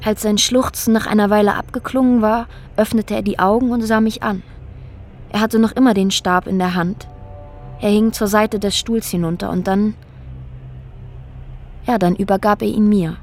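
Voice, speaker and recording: An actress plays a woman in a radio drama, quietly looking back on a disturbing experience. Her voice sits at a medium pitch, measured and matter-of-fact, with small hesitations. Music plays clearly behind her. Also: fairly even intonation, lightly acted. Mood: absorbed, subdued. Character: woman quietly recounting a disturbing, strange ordeal. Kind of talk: radio drama acting, reflective monologue. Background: music under the voice.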